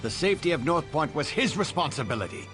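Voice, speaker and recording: A man's voice: a little high, very animated, quick pace, music in the background. An actor playing a proud, severe middle-aged lord and father in a video game, commanding and formal. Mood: stern, weary. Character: stern lordly father, lawbound, proud, unforgiving. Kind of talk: scripted video game dialogue.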